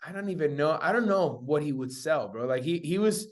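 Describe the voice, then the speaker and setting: Young male guest, slightly high voice, casual and slangy, bro-heavy personal storytelling. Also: animated, brisk. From Spain. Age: young adult. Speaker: interview guest, entrepreneur.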